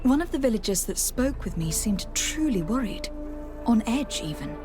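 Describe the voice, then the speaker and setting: A woman's voice: fairly high pitch, very animated, moderate pace. An actress playing a polite, composed young noblewoman envoy in a video game, courteous and formal. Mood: thoughtful. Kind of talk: video game character acting. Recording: music under the dialogue.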